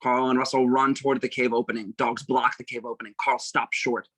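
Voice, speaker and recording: Guy reading out stage directions for a table read, measured n dramatic, dry sound no echo. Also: mid-range voice, flat delivery, a bit bright. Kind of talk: scripted narration at a table read.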